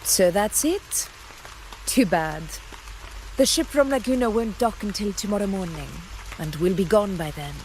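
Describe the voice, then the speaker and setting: A woman playing a proud, unflappable warrior ruler in a video game, with measured, grand phrasing and a teasing streak. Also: medium pitch, lively intonation, a little mellow, slight background noise, natural voice, rough recording. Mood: calm, amused.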